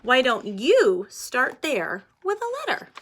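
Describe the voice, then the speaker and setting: A woman's voice: sits high, wildly swooping pitch, slow pace, voice pushed up, exaggerated voice. A woman voicing a cheerful, confident young girl who encourages her little brother, in a picture book read aloud. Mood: encouraging. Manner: bright, encouraging.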